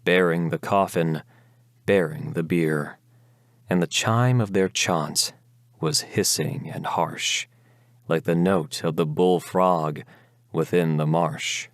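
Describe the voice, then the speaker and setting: A man narrating a Gothic ballad as a dramatic recitation, with theatrical, measured delivery of the verse. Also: sits low, fairly even intonation, no room sound, studio quality.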